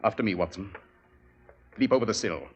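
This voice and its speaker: An actor playing a shrewd, commanding male detective in 1940s radio drama style, with a crisp, authoritative delivery. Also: lowish voice, brisk, close to an everyday voice. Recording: old radio broadcast with faint hiss.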